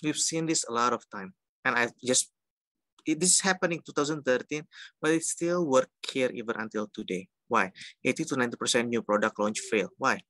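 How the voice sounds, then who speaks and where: A man's voice: mid-range voice, brisk, sharp tone, dry recording. A man from Indonesia with an Indonesian accent giving an informal, jokey lecture, mixing some Indonesian words into his English. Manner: chatty and jokey, with acted-out quotes and asides. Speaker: university lecturer.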